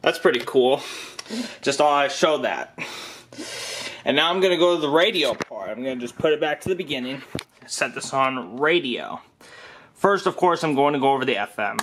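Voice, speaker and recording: A man's voice: mid-range voice, expressive, moderate pace, long pauses, fairly bright tone, slight background noise. Young man giving an offhand gadget demo. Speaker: gadget demonstrator. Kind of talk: casual hands-on demo narration. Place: small room at home.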